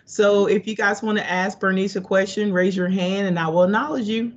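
A woman's voice: mid-range voice, fairly even intonation, fairly quick. A middle-aged woman hosting an informal interview over a video call. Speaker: seminar host and interviewer. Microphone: video call microphone. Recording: dull, lo-fi video call audio.

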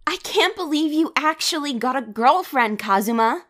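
A woman playing a cheerful, nosy high-school teenager with hidden worries in a manga dub, with a husky voice. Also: expressive, normal speed, a bit bright.

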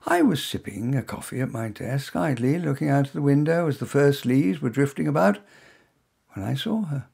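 A man's voice: medium pitch, lively intonation, moderate pace, gravelly, a little mellow, husky. A man reading his own first-person short story in a wry, self-mocking way.

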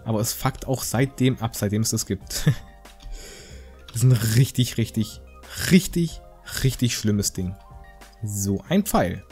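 A man's voice: lowish voice, expressive, measured pace, long pauses, darkish tone, faint music behind. A man giving casual, joking game commentary in a rambling, slangy, mock-indignant way. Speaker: gaming video host.

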